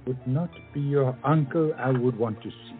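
An actor playing a proud, lovelorn officer and poet, speaking formally in a romantic audio drama. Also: male voice, medium pitch, normal speed, close to an everyday voice. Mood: wounded, firm. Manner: formal, declamatory. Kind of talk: romantic comedy stage-style audio drama. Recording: muffled lo-fi audio, music underneath.

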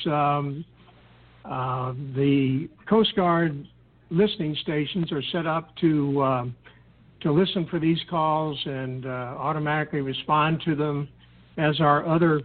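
A man giving methodical, measured instructional explanations as a guest, step by step. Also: on the high side. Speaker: guest explaining radio procedures. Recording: tinny narrow-band phone-like line.

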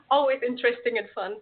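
A woman's voice: on the high side, normal speed. Austrian-accented young woman on a phone-like call. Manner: friendly, earnest, with some fillers and restarts. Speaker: guest, event organizer. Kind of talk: casual interview over a call.

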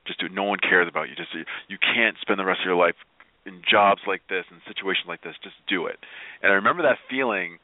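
A man speaking as an interview guest, telling personal stories in an expressive, emphatic and informal way. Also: deep voice, quick pace, no room sound. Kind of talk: conversational personal storytelling. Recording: phone-like narrow-band online call.

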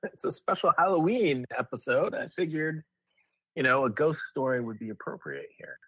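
A man's voice: on the high side, animated, normal speed, a bit bright, husky, no echo, rough recording. A man co-hosting a podcast, telling a personal anecdote in a casual, conversational way, step by step. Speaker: podcast co-host.

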